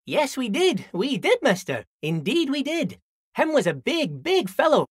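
A man's voice: extremely high pitch, huge pitch swings, measured pace, breathy edge, no room sound, clean studio sound, exaggerated voice. An actor playing a friendly, simple-minded old dockworker in a video game, folksy and rambling, repeating himself as he chats. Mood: friendly, chatty. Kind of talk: video game character dialogue.

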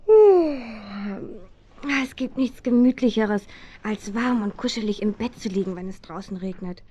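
A woman playing a lively, curious teenage girl detective in a German children's radio play, exclaiming eagerly. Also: mid-range voice, measured pace. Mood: cozy, curious. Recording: muffled 1970s radio play recording.

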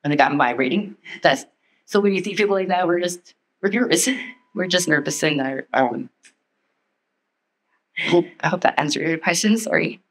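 Halting, nervous woman on a panel. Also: lowish voice. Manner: halting, nervous, many restarts. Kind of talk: personal testimony. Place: meeting room panel table. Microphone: handheld mic.

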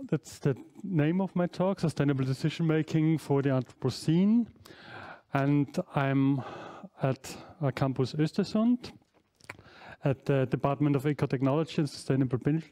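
A man's voice: fairly high pitch, unhurried, mellow. A man in his forties, speaking English as a second language, gives a university lecture on slides in a large hall through a headset mic, with frequent fillers and self-corrections. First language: not English. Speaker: professor giving an inaugural lecture. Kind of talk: academic lecture.

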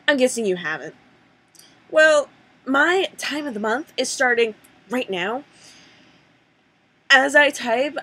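A woman's voice: on the high side, a bit bright. A young adult woman doing a dramatic comic reading of a letter, emphatic and sarcastically formal, recorded on a webcam at home. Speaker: amateur reader performing a letter. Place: small room at home, indoors. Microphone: computer webcam microphone at desk distance.

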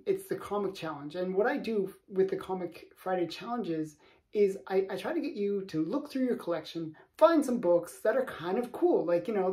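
A man's voice: sits high, a little mellow. A man in his late forties hosting his own channel, chatting casually to camera from his home comic room with small laughs between points. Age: 40s. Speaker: comic collector channel host. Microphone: camera in front.

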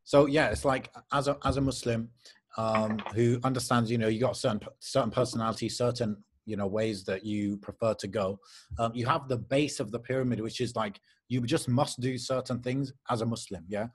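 A man's voice: medium pitch, fairly even intonation, normal speed, slightly rough. A young man hosts a podcast over a video call, explaining steadily and often checking in with "yeah?". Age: young adult. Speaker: podcast host. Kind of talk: casual podcast chat. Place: living room at home.

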